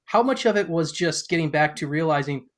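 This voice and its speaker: Higher-pitched man, sports podcast co-host. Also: animated, fairly quick, a bit bright. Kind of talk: conversational sports podcast questioning.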